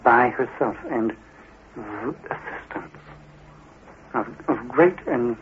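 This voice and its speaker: Slow halting man, trance reading, tinny archive recording with hiss. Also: mid-range voice. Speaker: psychic.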